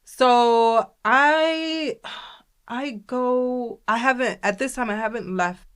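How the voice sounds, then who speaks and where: A woman's voice: a little high, takes it slowly, a bit bright, smooth. A young woman co-hosting a podcast, telling a story in casual chat and acting out the people she quotes. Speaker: podcast co-host.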